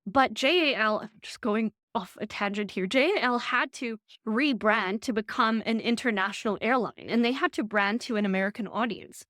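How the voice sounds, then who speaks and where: A young woman vlogging casually from her living room, chatty and animated, with quick asides, while recovering from an illness. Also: on the high side, no echo, studio quality. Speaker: vlogger and design lecturer. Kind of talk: chatty casual vlog update.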